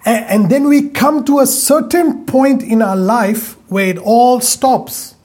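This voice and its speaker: Male preacher, high husky voice, emphatic, stretches words for weight. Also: darkish tone. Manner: emphatic, repeats phrases, stretches key words slowly. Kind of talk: sermon.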